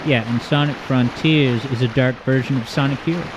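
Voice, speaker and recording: A man hosting a gaming livestream from home, reading viewer comments aloud in a rambling, joking, self-deprecating way, with a smooth voice. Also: medium pitch, brisk. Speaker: livestream host. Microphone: streaming mic with boosted EQ. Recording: steady background noise.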